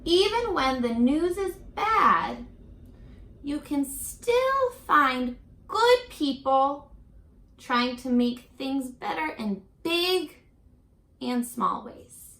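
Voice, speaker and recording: A woman teacher reading a picture book aloud to young children, expressive and encouraging, pausing for chatty asides and questions. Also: high-pitched. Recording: roomy echo.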